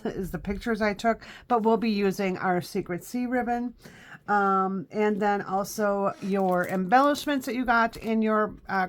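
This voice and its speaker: Woman in her fifties, home craft room, webcam, sniffly, rambling how-to talk, faint hiss behind her. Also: mid-range voice. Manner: chatty, many ums and uhs, step-by-step instructions. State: sniffly from allergies. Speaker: craft instructor. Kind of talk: live craft tutorial.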